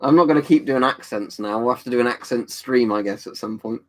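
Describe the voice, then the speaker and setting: A man's voice: mid-range voice, a little fast. A man, casually chatting and answering viewers on a livestream. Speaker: livestream host reading chat. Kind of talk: casual chat.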